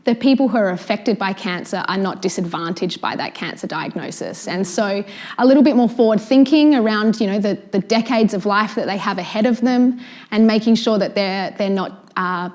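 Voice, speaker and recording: A woman's voice: medium pitch, normal speed, darkish tone. A woman with an Australian accent giving articulate interview answers as a researcher. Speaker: cancer researcher, panel guest.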